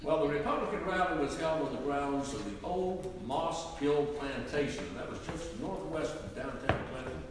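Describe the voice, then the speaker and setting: A man narrating history from a script in a live dramatic reading, deliberate and theatrically emphatic. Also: fairly high pitch. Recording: echoey hall, distant mic, faint noise.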